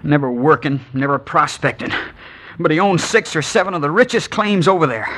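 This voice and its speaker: An earnest young prospector. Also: male voice, fairly high pitch, brisk, a bit bright. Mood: anxious. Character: wounded young male gold prospector. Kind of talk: 1950s radio drama acting.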